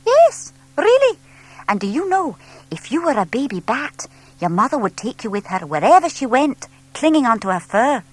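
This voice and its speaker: A woman's voice: high voice, normal speed, no room sound, pitched above the performer's own voice. A woman voicing a friendly young lady artist who explains things patiently and enthusiastically in a children's audiobook. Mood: explaining. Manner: animated, explaining gently to a small child.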